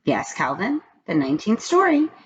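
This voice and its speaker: A woman reading as a brisk, bossy female schoolteacher in a storybook, with a stern and insistent manner. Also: mid-range voice, huge pitch swings. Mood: impatient. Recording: dull lo-fi recording with background noise.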